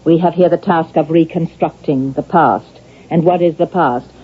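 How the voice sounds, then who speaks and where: A woman acting in a vintage radio drama as an insistent psychiatrist, measured and deliberate as she questions a suspect. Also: sits low, husky. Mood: measured, probing. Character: probing, insistent psychiatrist questioning an accused killer. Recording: dull old radio drama recording.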